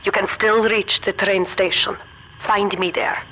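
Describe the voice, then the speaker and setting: A woman's voice: mid-range voice, level tone, measured pace, close to an everyday voice, poor audio. A woman playing a guarded, worldly scientist ally in a video game, with a German accent and a grave, matter-of-fact manner. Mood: urgent guidance. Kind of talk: video game character dialogue.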